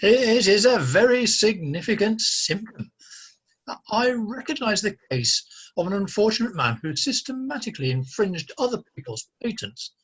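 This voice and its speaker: A man's voice: sits high, fairly bright tone, slightly rough, lo-fi sound, exaggerated voice. A man playing a fussy, self-important doctor in an amateur comic radio play, with pompous theatrical delivery. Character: fussy, self-important mad-doctor, echoing his colleague. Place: home, recorded over a video call.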